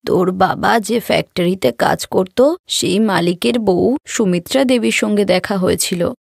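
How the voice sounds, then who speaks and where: A woman voicing a kindly, chatty widowed mother who runs a boutique, in an animated story. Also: medium pitch, a little slow, gravelly, breathy edge, no echo, clean studio sound. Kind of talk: Bengali animated moral story acting.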